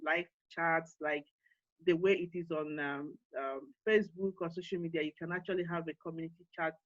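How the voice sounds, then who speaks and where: Nigerian woman, summit host; encouraging, halting delivery full of fillers; muffled video-call sound. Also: fairly low pitch, no room sound. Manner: earnest, encouraging, with frequent fillers and restarts. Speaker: forum co-founder and CEO hosting an online summit. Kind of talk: panel talk and closing remarks.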